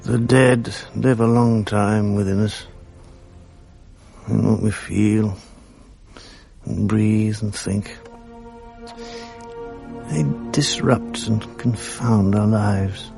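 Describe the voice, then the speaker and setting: A slow, grave, haunted narrator. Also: male voice, lowish voice, steady tone, creaky, dark tone, soft background music, exaggerated voice. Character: brooding male narrator, haunted by the dead. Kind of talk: 1980s radio drama narration.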